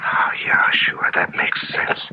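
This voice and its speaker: A man's voice: takes it slowly, whispered, natural voice. An actor playing a desperate, determined younger man with an earnest, insistent manner in a 1970s radio drama. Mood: urgent. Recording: dull, faintly noisy old radio recording.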